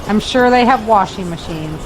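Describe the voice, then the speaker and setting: An actress playing a protective, practical mother in an audio drama, firm, brisk and reassuring. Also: medium pitch, animated, a little hiss or hum, lightly acted, low-quality recording.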